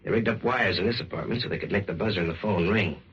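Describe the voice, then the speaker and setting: An actor playing a firm, no-nonsense police detective, brisk and insistent, with a rough voice in old-time radio suspense. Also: male voice, sits low, a bit bright, full character voice. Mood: stern accusing. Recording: dull vintage radio recording.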